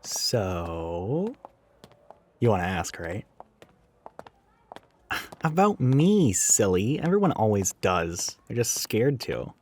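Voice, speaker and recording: Man playing animated, sardonic gender-ambiguous retail coworker. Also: lowish voice, takes it slowly, pauses often, a little hiss or hum. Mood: teasing. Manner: rambling, sarcastic, flippant, with sighs and chuckles. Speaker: voice actor in audio roleplay. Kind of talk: casual roleplay monologue addressed to the listener.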